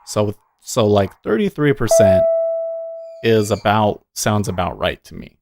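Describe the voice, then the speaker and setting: A man's voice: fairly low pitch, lively intonation, measured pace, gravelly, noisy background. A man hosting a day trading livestream, chatting casually and thinking aloud, often muttering to himself between points.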